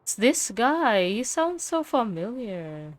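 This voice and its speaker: A woman's voice: on the high side, sing-song, slow pace, a little hiss or hum. A woman streamer giving casual gameplay commentary, thinking aloud as she plays. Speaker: gaming streamer. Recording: loud game music behind.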